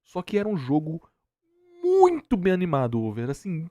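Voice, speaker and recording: Animated Brazilian man, higher-pitched, argumentative. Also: moderate pace, no echo, muffled recording. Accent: Brazilian Portuguese. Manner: exasperated, argumentative, emphatic repetition. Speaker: streamer reacting to a video. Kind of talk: casual opinionated reaction commentary.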